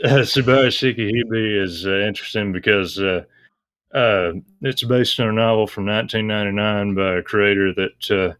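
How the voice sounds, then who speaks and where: Deep-voiced man, bright dry close sound. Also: normal speed, no echo. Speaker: interview guest. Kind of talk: casual interview chat about visual novels.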